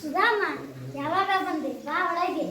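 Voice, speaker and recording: A woman's voice: very high voice, slow, a crowd in the background. Krishna, a child playing a kindly young king. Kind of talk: school stage play. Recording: echoey hall, distant mic, faint hiss.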